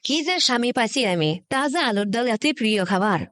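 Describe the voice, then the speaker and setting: Comic cartoon housewife, female actor, nagging and questioning, northern Bangladeshi Bengali accent, high register, very dry recording. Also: expressive, brisk, fairly bright tone, dry recording. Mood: nagging, exasperated. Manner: scolding, questioning, exclaiming. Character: nagging, sceptical village housewife.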